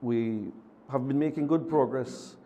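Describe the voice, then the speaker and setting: Measured, dark-voiced male city mayor. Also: medium pitch. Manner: measured, formal civic phrasing. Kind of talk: TV interview guest. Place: TV studio.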